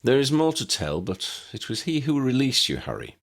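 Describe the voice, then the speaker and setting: A man voicing a weary old wizard in an audiobook story, grave and courteous, with formal, measured phrasing. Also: medium pitch, huge pitch swings, vocal fry, pitched above the performer's own voice, exaggerated voice. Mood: solemn, explaining. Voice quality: cracked voice.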